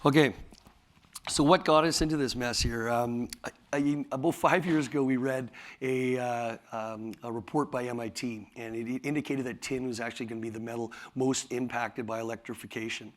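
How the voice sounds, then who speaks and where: A man with a raspy voice, tired from talking all day, presenting his company at a conference in a halting way, with many fillers and restarts. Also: medium pitch, steady tone. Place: conference hall.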